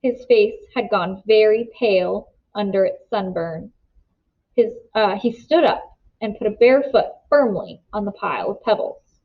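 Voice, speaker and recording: A woman narrating a children's novel aloud in an expressive storybook style, on a slightly muffled recording. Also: mid-range voice, unhurried. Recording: slightly dull, muffled sound.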